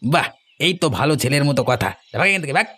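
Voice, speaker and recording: A man's voice: on the high side, lively intonation, fast, sharp tone. A man playing a bullying henchman of a village boss in a cartoon, with a taunting, threatening manner. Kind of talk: Bengali cartoon story acting.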